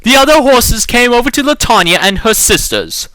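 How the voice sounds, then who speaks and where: A man's voice: extremely high pitch, very animated, normal speed, bright, forceful tone, no echo, rough recording. A man giving an exaggerated, theatrical dramatic reading of a children's storybook. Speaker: amateur reader.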